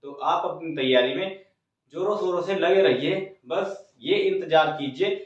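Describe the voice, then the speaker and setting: A man's voice: a little high, unhurried. A man presenting an informal recruitment news update, explaining things step by step and speaking directly to viewers. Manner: explanatory, repeats points, addresses viewers directly. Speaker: host of a small exam-news channel. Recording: very echoey room, distant mic, dull sound.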